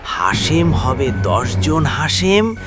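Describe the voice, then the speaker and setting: A man's voice: on the high side, very animated, very slow, heavily acted. A man voicing a greedy, boastful village thief in a cartoon, gloating and mocking as he talks to himself. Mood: smug, scheming. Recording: music behind the voice.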